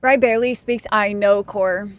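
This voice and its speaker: A young woman from Texas streaming a game, chatting casually with her viewers, self-deprecating and quick to laugh. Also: medium pitch, fairly even intonation, dry recording. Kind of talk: casual chat with stream chat while gaming.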